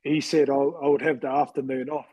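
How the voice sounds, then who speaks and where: A man in his forties with a husky voice, casually telling personal stories as an interview guest. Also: medium pitch, flat delivery, brisk, mellow, no echo. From New Zealand, later Western Sydney, Australia. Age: 40s. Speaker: interview guest, former rugby league player.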